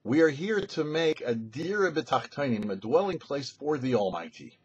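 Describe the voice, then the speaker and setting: A rabbi delivers a weekly Torah lesson, his voice rising and falling in a lively, animated way as he explains each point with measured pauses. His tone is slightly husky, and the recording sounds a little muffled and lo-fi. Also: mid-range voice. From United States. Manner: thoughtful explanatory teaching with pauses. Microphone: studio mic.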